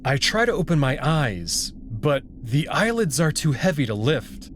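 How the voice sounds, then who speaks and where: A man's voice: a little high, big pitch swings, unhurried, a little hiss or hum. A man giving scripted narration and commentary as the reader of a story.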